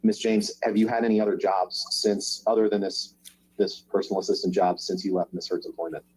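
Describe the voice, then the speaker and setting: A man questioning a witness in court, in measured, formal phrases. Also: deep voice, little pitch movement, a little mellow, slightly rough, poor audio. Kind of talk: courtroom examination.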